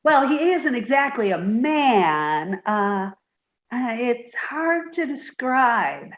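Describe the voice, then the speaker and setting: Woman acting naive young secretary, high swooping voice, radio comedy, earnest, dim, roomy echo. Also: very animated, slow. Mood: hesitant. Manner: dim-witted, earnest, easily distracted. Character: sweet, naive, scatterbrained young female secretary.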